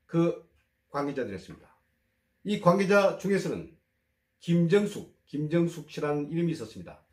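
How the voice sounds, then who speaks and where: A man who is hoarse from a cold, hosting a political commentary show and talking in a measured, emphatic way, full of rhetorical questions. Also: on the high side, echoey room.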